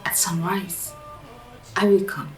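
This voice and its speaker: A woman's voice: on the low side, level tone, takes it slowly, darkish tone, faint music behind. A woman acting a guarded young village woman secretly in love, hesitant and earnest, in a radio drama. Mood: reluctant, tender.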